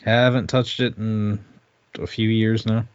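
A man's voice: fairly low pitch, flat delivery, measured pace, no echo, lo-fi sound. A man chatting casually as a podcast co-host, tentative and correcting himself as he recalls things. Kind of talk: casual chat.

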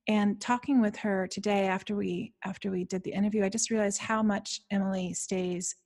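Creaky, husky-voiced woman podcast host. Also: medium pitch, steady tone, normal speed, a little mellow. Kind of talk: casual conversational interview chat.

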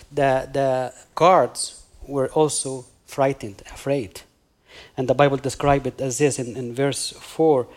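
A man with an Egyptian accent, a native Arabic speaker, preaching a sermon as a guest pastor, halting now and then as he hunts for words. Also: mid-range voice, a little mellow. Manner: halting, hunting for words with many pauses.

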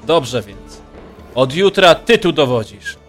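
Guy doing blustering mercenary boss in fantasy audiobook, highish swoopy voice, music and crowd behind. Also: big pitch swings, slow. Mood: resigned. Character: indignant, astonished male commander of mercenaries. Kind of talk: dramatised fantasy audiobook acting.

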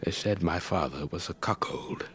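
An actor playing an elderly English retired air force officer, telling his story in a measured, wistful, old-fashioned way for a radio drama. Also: deep voice, fairly bright tone. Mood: pained, reflective. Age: older. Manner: measured, old-fashioned, reflective, breathy trailing phrases. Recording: faint background noise.

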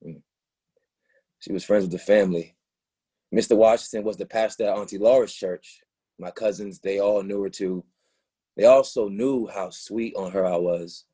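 A man acting in a play reading as a wounded, confrontational young boyfriend, with a slightly husky, halting delivery. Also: sits low, darkish tone.

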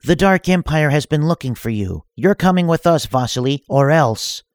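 A man's voice: a little high, animated, normal speed, no echo, clean studio sound, pitched above the performer's own voice. A haughty, unhinged Sith princess who threatens and bosses in a raised, imperious voice, as a man puts her on. She is a storybook villain with a cruel, theatrical streak.

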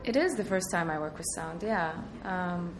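A woman from Iraq answering interview questions, soft-spoken, often hesitating and laughing. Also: fairly low pitch, moderate pace, background noise. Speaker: artist, interview guest.

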